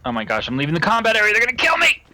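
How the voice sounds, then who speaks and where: A man's voice: sits high, wildly swooping pitch, very fast, pushed and bright. A young American man chatting casually while playing a game with a friend. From United States. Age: young adult. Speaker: co-player in a gaming video. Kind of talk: casual chat while gaming.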